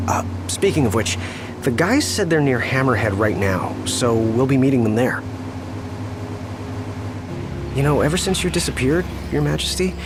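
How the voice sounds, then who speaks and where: A man's voice: mid-range voice, natural voice. An actor playing an earnest young man, chatty and deferential toward his king, in a video game cutscene. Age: young adult. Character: earnest, respectful young driver loyal to a returning king. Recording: music under studio dialogue. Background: game music.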